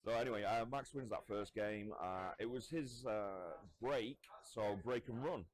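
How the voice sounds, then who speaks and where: A man commentating live on a pool match, talking loudly and in a chatty way. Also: fairly low pitch, unhurried. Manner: loud, chatty, laughs easily. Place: commentary spot at a pool venue.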